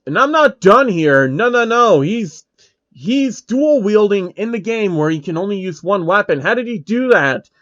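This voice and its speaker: A man voicing a loud, hot-tempered male gamer villain who rants, whines and swears, in a fan fiction story. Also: high voice, lively intonation, normal speed, dry recording, lo-fi sound, voice pushed up. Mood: indignant arguing. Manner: whiny, ranting, sweary.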